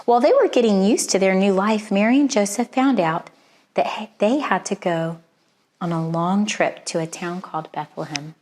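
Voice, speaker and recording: Female storyteller, mid-pitched voice, expressive children's read-aloud with deliberate phrasing.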